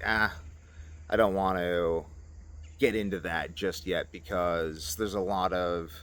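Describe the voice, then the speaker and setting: A man in his twenties talking casually and unscripted to a camera as a vlogger, rambling from one tangent to another. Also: low voice. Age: 20s. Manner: rambling, jumping between tangents. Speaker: video creator.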